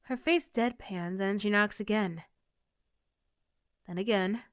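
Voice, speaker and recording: A woman's voice: medium pitch, slow pace, clear, resonant tone, dry recording, studio quality. A woman reading scripted second-person story narration for an ASMR roleplay.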